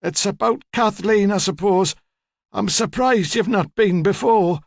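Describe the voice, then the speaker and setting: Man voicing a hoarse, high, imperious old woman. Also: a little slow, no room sound, clean studio sound. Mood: haughty, pointed. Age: older. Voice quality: hoarse, rasping. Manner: demanding, curt, imperious. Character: sharp, haughty, opinionated old woman, large and deaf.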